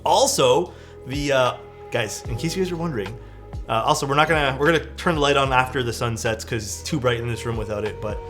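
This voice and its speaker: A young man, chatting casually as a livestream host, excitable and rambling with lots of "like" and "dude". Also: mid-range voice, brisk. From Canada. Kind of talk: casual livestream chat, reading chat messages. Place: his room at home. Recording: speech over background music. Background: background music.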